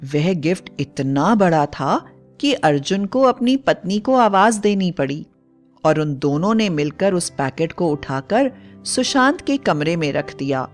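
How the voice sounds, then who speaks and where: Slow-paced woman storyteller over music. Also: lowish voice, big pitch swings. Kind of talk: scripted bedtime story narration. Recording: faint music bed. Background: soft background music.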